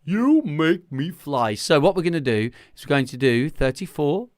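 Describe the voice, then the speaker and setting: A man's voice: a little high, very animated, moderate pace, darkish tone. A man hosting a singing group livestream, chatting casually to viewers in a rambling, self-deprecating, jokey way, speaking into a talking mic at a home setup. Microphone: talking microphone beside a separate vocal mic.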